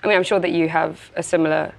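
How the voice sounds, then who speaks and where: A woman's voice: lowish voice, fast talker, creaky. Bubbly young woman. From South Africa. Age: young adult. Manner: animated, bubbly, laughs often. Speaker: actress guest. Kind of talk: casual interview chat.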